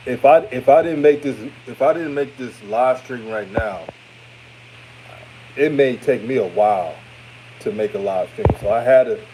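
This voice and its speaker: A man's voice: medium pitch, noisy background. A thirty-year-old man with an older-sounding radio voice, hosting a casual sports livestream and chatting conversationally with viewers. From Houston, Texas, United States. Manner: conversational, addresses viewers as family. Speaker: sports show host. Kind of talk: casual livestream postgame chat, reading and answering comments.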